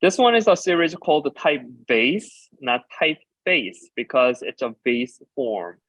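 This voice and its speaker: Halting male speaker, English not native. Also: mid-range voice, sing-song, a bit bright, breathy edge, no echo, dull audio. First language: Korean. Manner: halting, with frequent filler pauses while explaining. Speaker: designer and ceramicist giving a talk. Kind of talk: conference presentation about slides.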